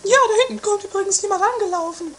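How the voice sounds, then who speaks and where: A woman's voice: extremely high pitch, quick pace. A woman playing a bossy, quarrelsome treasure-hunting sister in a theatrical 1980s amateur radio comedy. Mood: flustered. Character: bossy, bickering anarchic castle sister on treasure hunts. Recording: noisy lo-fi 1980s radio play tape.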